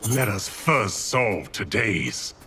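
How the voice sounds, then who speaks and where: A man's voice: fairly low pitch, sing-song, a crowd in the background. A man playing a grim, haughty sorcerer in a video game cutscene, speaking formally and with cold disdain. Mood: grave, wry. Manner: formal, archaic, deliberate. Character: grim, haughty male sorcerer, coldly wrathful.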